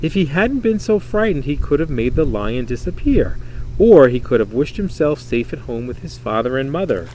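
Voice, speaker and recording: A man reading a children's story aloud in an expressive, dramatic, emphatic way. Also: mid-range voice, normal speed, slight background noise, rough recording. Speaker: storybook reader.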